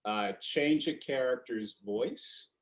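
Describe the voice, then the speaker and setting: A man's voice: medium pitch, slow pace. Man, podcast co-host, emphatic, cuts in, roomy echo. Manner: emphatic, jumps in over others. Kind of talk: casual chat. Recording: echoey room.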